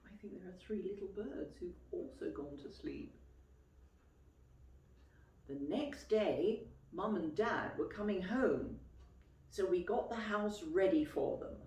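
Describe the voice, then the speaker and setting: A woman reading a picture book aloud to young children as a teacher would, expressively and clearly phrased, pausing to explain and ask questions. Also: mid-range voice, lively intonation, darkish tone. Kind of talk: children's storytime read-aloud with questions to listeners. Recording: roomy, echoey, distant microphone.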